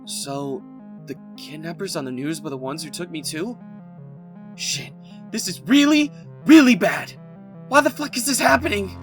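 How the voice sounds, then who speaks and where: Guy acting stressed young prisoner, high pitched, all over the place, sweary and sarcastic, music behind. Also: lively intonation, moderate pace, pauses often, a bit bright. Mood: worried, then panicked. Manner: agitated, exclaiming, sarcastic. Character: anxious, foul-mouthed young man, kidnapped prisoner. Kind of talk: audio drama acting.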